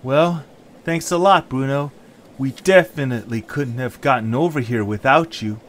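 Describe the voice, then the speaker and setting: A man voicing an eager, excitable young hero in an audio drama, earnest and full of exclamations. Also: medium pitch, normal speed, close to an everyday voice.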